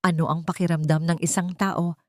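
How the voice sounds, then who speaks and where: Female Filipino storyteller, lower register, dry close studio sound, dramatic scripted narration. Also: level tone, a little fast, a little mellow, no echo.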